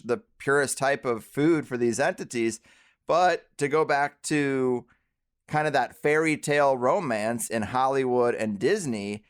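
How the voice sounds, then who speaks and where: A man's voice: mid-range voice, clean tone. A man hosting a podcast interview, speaking conversationally and articulately in long, reflective turns. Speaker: podcast host.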